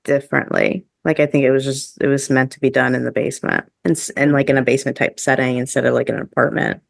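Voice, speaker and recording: A woman co-hosting a casual podcast discussion, explaining her ideas in a measured, tentative way. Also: very deep voice, level tone, vocal fry, dry recording, studio quality. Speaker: podcast co-host.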